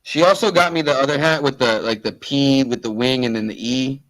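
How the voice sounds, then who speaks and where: A man's voice: medium pitch, brisk, fairly bright tone, rough recording. A man from Rhode Island with an American accent, chatting casually and swearing as co-host of a fan show. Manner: slangy, sweary. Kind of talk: casual chat.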